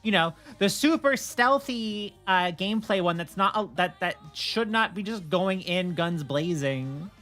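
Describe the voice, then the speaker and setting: A woman's voice: on the low side, lively intonation, unhurried, hardly any pauses, fairly bright tone, faint music behind. A woman chatting casually on a livestream, often stammering and repeating words as she explains things. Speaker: streamer and game server admin.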